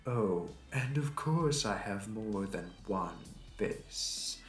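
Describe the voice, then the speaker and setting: A man's voice: lowish voice, animated. A man playing a suave, smug supervillain in an audio roleplay, with a mocking, flirty, theatrical delivery. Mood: measured, coy. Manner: theatrical, taunting, drawn-out delivery. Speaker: voice actor. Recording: roomy echo, distant mic, music behind.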